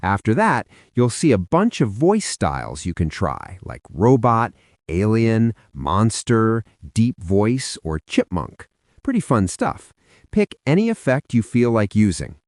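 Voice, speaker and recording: Guy reading a how-to tutorial, pitch swings all over, really dry close sound. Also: wildly swooping pitch, unhurried, darkish tone, dry recording. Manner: instructional, step-by-step with informal asides. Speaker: tutorial narrator. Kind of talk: scripted how-to narration.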